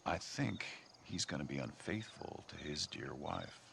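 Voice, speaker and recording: Deep, knowing stranger. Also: male voice, big pitch swings, vocal fry, full character voice. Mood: cryptic, calm. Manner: measured, cryptic, needling. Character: enigmatic, knowing, faintly menacing gentleman stranger. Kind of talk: video game cutscene acting. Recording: faint outdoor insect noise.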